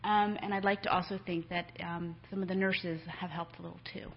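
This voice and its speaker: A woman's voice: on the low side, fairly even intonation, brisk. Woman nurse, middle-aged, conversational talk, frequent ums, muffled lo-fi sound, faint hiss. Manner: conversational, many filler ums. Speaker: nurse speaker. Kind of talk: conference talk to families. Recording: dull lo-fi lecture recording.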